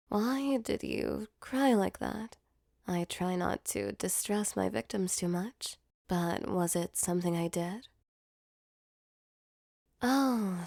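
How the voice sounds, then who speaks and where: Woman playing a creaky-voiced intimate female demon. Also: medium pitch, darkish tone, no echo, clean studio sound. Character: female sleep paralysis demon, mock-menacing turned tender and flustered. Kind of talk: intimate ASMR roleplay audio drama.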